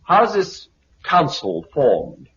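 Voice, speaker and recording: Sceptical, challenging survivor, muffled and faintly noisy 1950s radio sound. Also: male voice, a little high, huge pitch swings, drawn-out words, a bit bright, heavily acted. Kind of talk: 1950s British radio drama acting.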